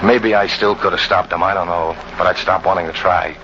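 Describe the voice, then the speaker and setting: A low-voiced, bitter hunting guide played by a man narrates in tough, colloquial radio-drama style. He broods like a hardened fugitive. The old recording is muffled and very noisy. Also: fairly even intonation, brisk, natural voice. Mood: grim. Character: bitter hard-boiled jungle hunting guide, fugitive, confessing his hatred.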